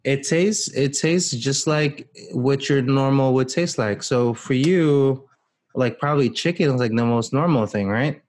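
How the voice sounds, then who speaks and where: A man's voice: mid-range voice, measured pace, darkish tone. A man from the Philippines, talking casually on a podcast, rambling with frequent restarts. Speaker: podcast guest. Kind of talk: casual chat.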